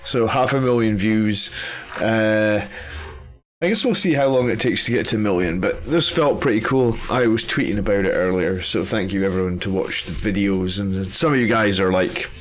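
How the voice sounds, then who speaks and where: A man's voice: on the low side, normal speed. A man hosting a live stream, congested from a head cold, chatting casually and greeting viewers with plenty of ums and uhs. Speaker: host of a live army-list stream.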